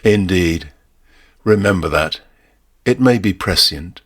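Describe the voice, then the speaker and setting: Man performing a slow, deep, ominous barman. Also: lively intonation, a bit bright, heavily acted. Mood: cautionary. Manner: slow, formal, deliberate, portentous. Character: ominous, courteous male barman with devilish solemnity. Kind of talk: short story performance.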